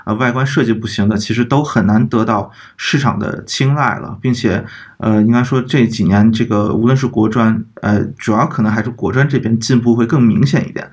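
略带沙哑的男声，娓娓分析. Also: male voice, mid-range voice, fairly quick, breathy edge. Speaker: podcast co-host. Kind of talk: casual discussion of audio gear.

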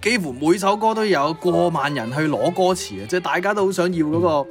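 A man's voice: a little high, animated, brisk. A young man co-hosting a music-history show, presenting conversationally from prepared notes. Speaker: co-host of music history podcast.

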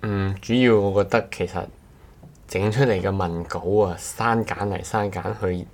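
Very low-voiced Cantonese man, chatting casually. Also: steady tone, moderate pace. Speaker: podcast co-host. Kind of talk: casual chat.

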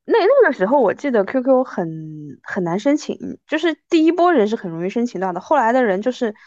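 A woman in her thirties hosting a casual chat interview, talking in an animated way. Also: on the high side, fairly quick, dry recording, highs cut off. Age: 30s. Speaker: podcast host.

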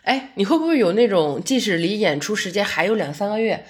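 A woman's voice: mid-range voice, animated, fairly quick, lightly acted. A woman acting a friendly, reflective working woman, a young adult theatre fan, in conversational, naturalistic audio drama dialogue. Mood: enthusiastic, sympathetic.

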